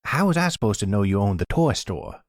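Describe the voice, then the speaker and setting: A man's voice: fairly low pitch, sing-song, a little fast, dry recording, studio quality, voice pushed up. A man playing a defensive, grumbling old man in his seventies in an audio roleplay. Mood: defensive, amused.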